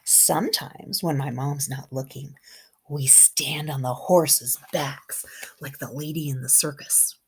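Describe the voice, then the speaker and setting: A woman's voice: low voice, takes it slowly, husky. A kindergarten teacher, a woman, reading a picture book aloud expressively to young children and adding chatty comments as she goes. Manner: expressive, engaging young listeners with questions and comments.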